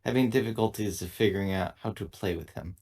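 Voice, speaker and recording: A man playing a tabletop roleplaying game, speaking in character with measured wording. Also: lowish voice, a little mellow, slight background noise. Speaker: tabletop game player speaking as an android crew member.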